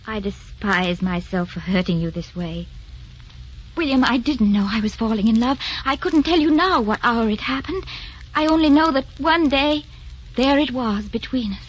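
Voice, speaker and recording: A woman's voice: on the high side, expressive, moderate pace. An actress playing a young, passionate aristocratic lady, earnest and refined, in an old-time radio drama. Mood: anguished, earnest. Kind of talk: 1940s-50s radio drama acting. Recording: muffled old radio recording with music.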